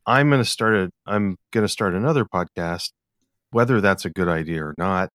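A man from the US Pacific Northwest with an American accent, hosting a casual podcast interview in a halting, rambling way. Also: low-pitched, a bit bright, no room sound, studio quality. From US Pacific Northwest, eastern Washington. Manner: halting, rambling, hesitant delivery.

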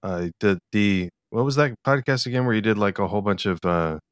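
American guy hosting a podcast, smooth lowish voice, rambly and hesitant, casual chat. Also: no echo, clean studio sound. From US Pacific Northwest, eastern Washington. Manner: halting, rambling, hesitant delivery. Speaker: podcast host, interviewer.

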